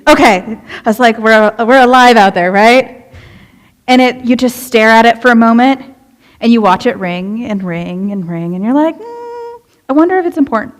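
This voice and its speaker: Young woman, youth minister, conversational sermon, slightly high voice, talks to the congregation. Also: animated, moderate pace. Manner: chatty, relatable, asks the congregation questions. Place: church sanctuary.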